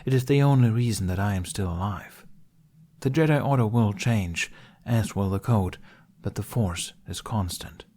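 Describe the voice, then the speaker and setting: A man voicing a wise, gentle older Jedi master in a story, measured and counselling. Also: lowish voice, natural voice. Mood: solemn. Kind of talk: storybook character voice.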